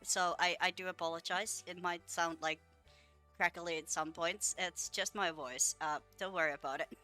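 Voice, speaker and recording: A woman's voice: fairly low pitch, fairly even intonation, moderate pace, bright, forceful tone, soft background music. A young woman who is a little sick, casually chatting and rambling with lots of fillers while building in a game. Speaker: gaming video creator. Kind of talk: casual gameplay commentary.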